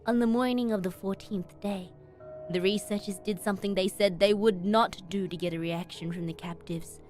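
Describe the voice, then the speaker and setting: A woman streamer reading a horror story aloud in expressive, dramatic narration with emphatic pauses. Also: medium pitch. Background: background music.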